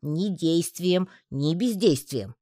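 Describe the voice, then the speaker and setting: A woman's voice: fairly low pitch, lively intonation, dark tone, no echo, studio quality. A woman voicing a tiny, lonely male spider who talks in a plaintive, pleading way for an audiobook. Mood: indignant. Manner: plaintive, pleading, quick to take offence. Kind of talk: audiobook reading.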